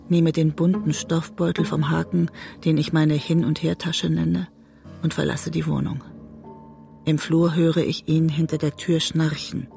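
Guilt-ridden wife in a bitter marriage, gravelly voice, inward radio-play monologue, soft music underneath. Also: female voice, deep voice. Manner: introspective, measured storytelling. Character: disillusioned, guilt-ridden woman writer trapped in a bitter marriage. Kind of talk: literary radio drama monologue, first-person narration.

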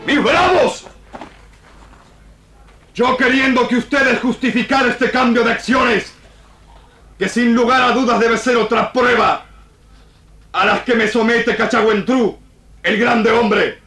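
A commanding male war chief, raised very high and forceful. He orates slowly and proudly in broken phrases, in an echoey old radio drama recording. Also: full character voice. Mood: proud, rousing. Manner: loud oratory in broken speech, proud and forceful.

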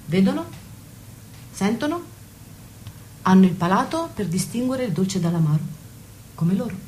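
Wry, defiant maid-confidante played by an actress, radio drama, dark soft tone, knowing delivery, faint noise. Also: female voice, mid-range voice, big pitch swings, moderate pace, natural voice. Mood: bitter, defiant. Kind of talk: stage-play dialogue and monologue.

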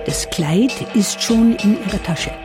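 Actress doing female storyteller in a radio drama, medium pitch, music going behind her. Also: a little slow, lightly acted. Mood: urgent storytelling. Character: female narrator recounting a couple's robbery. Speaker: narrator in a radio play. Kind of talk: dramatic scripted narration.

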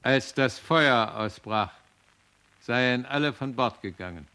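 A man's voice: medium pitch, takes it slowly, pushed and bright. A man in a radio play, playing a sober witness reporting testimony. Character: sober male witness reporting another's claims.

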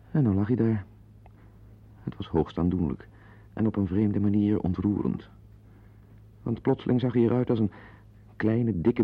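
Hectoring husband, creaky, husky, digressive, sardonic, neurotic, old radio play. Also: male voice, deep voice, narrow, tinny audio, heavily acted. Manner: digressive, sardonic, prone to outbursts. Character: bitter, neurotic, hectoring husband confiding in his listeners. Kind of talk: radio play monologue and dialogue acting.

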